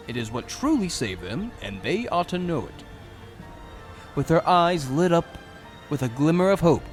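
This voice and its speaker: A man reading scripted narration for a fantasy audio drama. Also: medium pitch.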